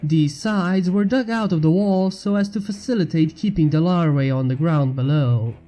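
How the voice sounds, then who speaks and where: A man's voice: a little high, normal speed, dark tone. A man reading a fan fiction story aloud as scripted narration. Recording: music bed behind the narration.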